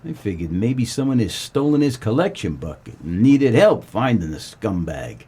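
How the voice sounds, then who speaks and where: An actor playing a wry, world-weary 1940s private eye, narrating a comedic noir radio play. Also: male voice, fairly low pitch, normal speed, slightly rough, full character voice. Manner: wry, deadpan, colloquial.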